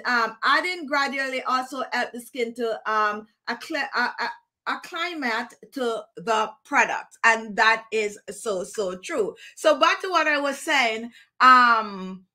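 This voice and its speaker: Jamaican woman, middle-aged, home-studio vlogger; higher, bright tone; casual, rambling delivery. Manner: chatty, digressive, laughs often. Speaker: skincare vlogger. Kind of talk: casual tutorial talk to camera. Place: indoor room with plants and screen.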